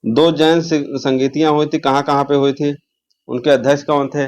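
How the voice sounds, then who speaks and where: A man's voice: a little high, level tone, moderate pace. A man teaching an exam lesson, posing questions, answering them himself and repeating key terms.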